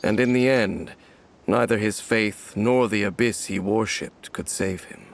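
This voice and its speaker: Fantasy game companion: composed knight, low and gravelly, measured delivery, faint ambience. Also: male voice. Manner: measured, solemn, expository. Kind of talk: video game acting. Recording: faint ambient noise behind.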